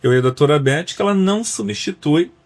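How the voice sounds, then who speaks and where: A man hosts a podcast interview. His voice sits a bit high for a man, and he prompts his guest warmly, summing points up with small tags. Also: expressive, fairly quick. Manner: friendly, prompting, sums up with filler tags. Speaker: podcast host.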